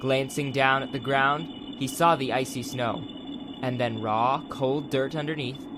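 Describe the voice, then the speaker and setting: A man's voice: mid-range voice, steady tone, unhurried, noisy background. A man reading scripted audiobook narration as the narrator of a dramatized story. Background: background music.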